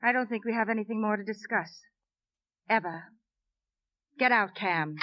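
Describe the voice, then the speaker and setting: An actress playing a composed, haughty, defiant woman under suspicion, in measured 1950s radio suspense style. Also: medium pitch, dry recording, close to an everyday voice. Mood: indignant. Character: composed, icily defiant stepmother suspected of poisoning. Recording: dull 1950s radio recording.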